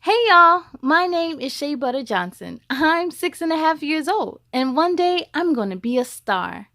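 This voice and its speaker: Woman voicing an excited, high-pitched sassy little schoolgirl. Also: a little slow, dry recording, clean studio sound, full character voice. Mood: bubbly, sassy. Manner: exclaiming, emphatic, playful slang. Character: excited sassy six-year-old schoolgirl, outgoing and bubbly.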